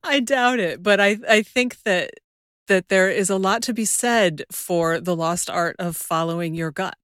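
A woman's voice: medium pitch, measured pace, a bit bright, smooth, no echo, studio quality. A woman speaking casually and conversationally as a podcast interviewer.

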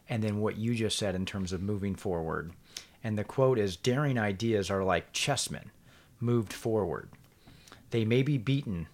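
Effusive podcast host, fairly low voice. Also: male voice. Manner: warm, grateful and effusive. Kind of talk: casual podcast chat.

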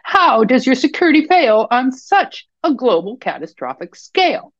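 Brief: woman narrator doing a scheming French lady exec, fifties, insistent, icy, lively pitch. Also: normal speed, no echo, muffled recording. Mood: probing. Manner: cold, probing, insistent. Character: poised scheming French woman executive, fifty-three.

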